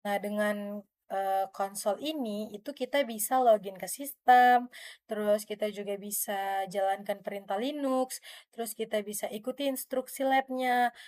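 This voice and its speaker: A young woman with an Indonesian accent gives an informal tutorial, explaining step by step in a halting way. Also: medium pitch, a little mellow. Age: young adult. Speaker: student presenter.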